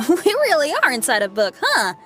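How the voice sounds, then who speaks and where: A woman's voice: sits high, huge pitch swings, moderate pace, bright, forceful tone, slight background noise, heavily acted. An actress voicing a friendly young woman companion who makes light, amused remarks. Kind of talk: game voice acting. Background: music.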